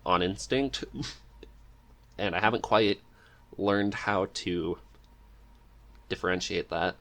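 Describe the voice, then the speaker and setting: Low-pitched male podcast host, casual. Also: takes it slowly, fairly bright tone. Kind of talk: casual interview chat.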